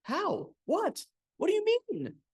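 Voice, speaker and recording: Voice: medium pitch, huge pitch swings, a little slow, pitched above the performer's own voice. A storyteller voicing a lonely old woman who answers a talking bucket with polite surprise. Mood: puzzled. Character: old woman living alone, puzzled but calm.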